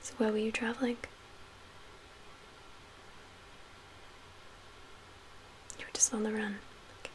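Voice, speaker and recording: Hushed, breathy woman acting as a female survivor leader. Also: on the high side, level tone, natural voice, poor audio. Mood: gentle, concerned. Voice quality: soft, breathy, half-whispered. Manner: hushed, measured, gently reassuring with pauses. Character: calm, guarded female zombie-apocalypse survivor leader. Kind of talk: soft-spoken ASMR roleplay. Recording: audible background noise.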